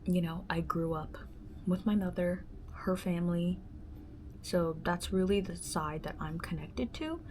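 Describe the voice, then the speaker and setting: A woman hosting her own podcast in a reflective personal monologue, musing and halting with many fillers, often dropping into a soft half-whisper. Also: fairly low pitch, a little hiss or hum. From born in the Dominican Republic, raised in the United States. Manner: gestures with her hands while talking. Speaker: solo podcast host.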